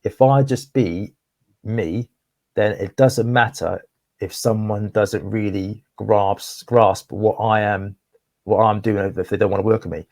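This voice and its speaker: Guest: young man from Wales, low-pitched, dry close sound, candid and rambling. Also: steady tone, measured pace, a little mellow, dry recording. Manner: candid, rambling, repeats words. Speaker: guest, property investor. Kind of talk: casual interview conversation.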